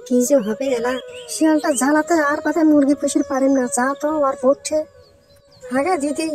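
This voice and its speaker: A woman's voice: sits high, lightly acted, poor audio. A woman playing a nagging, exasperated village woman in a cartoon, with a northern Bangladeshi accent. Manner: nagging, complaining. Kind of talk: Bengali village cartoon voice acting.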